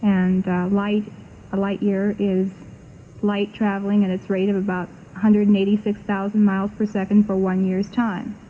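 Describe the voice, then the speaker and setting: A woman being interviewed on 1960s radio, explaining things in a halting, instructive way. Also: mid-range voice, completely flat delivery. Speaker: program guest explaining astronomy. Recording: phone-like, faintly noisy 1960s radio recording.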